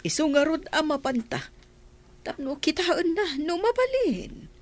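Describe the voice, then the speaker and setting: A woman's voice: high-pitched, very animated, unhurried. A woman acting a concerned family matriarch in an Ilocano radio comedy drama. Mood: encouraging. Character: concerned, slow-spoken mother and grandmother.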